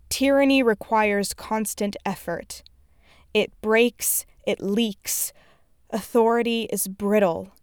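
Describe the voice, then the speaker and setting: A woman delivers a solemn recited speech with a smooth, crisp voice. The sound is close and dry, and each short phrase is placed deliberately and with emphasis. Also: medium pitch, dry recording.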